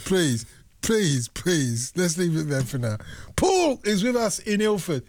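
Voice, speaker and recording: A man hosting a late-night radio phone-in, teasing his callers and his guest with a jokey, quick-talking manner. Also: sits high, very animated, darkish tone, slight background noise. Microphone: studio microphone.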